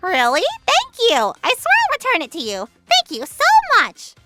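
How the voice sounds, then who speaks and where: A woman's voice: high voice, huge pitch swings, normal speed, over loud music. A woman voicing several young women friends in a manga dub, pleading and emotional. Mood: grateful. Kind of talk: anime-style manga dub acting.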